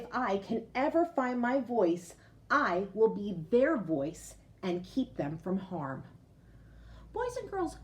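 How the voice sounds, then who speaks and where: Woman teacher reading aloud to children. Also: on the high side. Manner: explains simply, with frequent checking "okay?" and recaps. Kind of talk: explanatory read-aloud lesson for young children.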